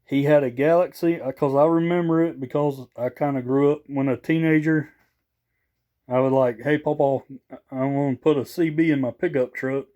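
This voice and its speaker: A man's voice: a little high, fairly even intonation, normal speed, mellow, lo-fi sound. A slightly tired man, rambling casually in a folksy, unscripted show-and-tell for a vlog. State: a little tired after work. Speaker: vlogger showing off a new CB radio.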